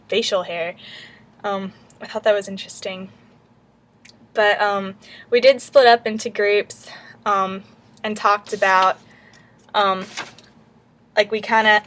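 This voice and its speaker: Young American woman, even-toned casual vlog. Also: medium pitch. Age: late teens to young adult. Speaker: college student.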